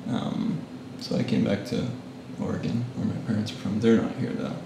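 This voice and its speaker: A man in his late thirties from Japan, answering interview questions with soft, trailing, hesitant phrases. His voice has a gravelly fry. The recording sounds like a big echoey room with the mic some distance away and a steady hiss behind him. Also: lowish voice, moderate pace. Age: late 30s. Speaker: guest photographer. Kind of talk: casual interview.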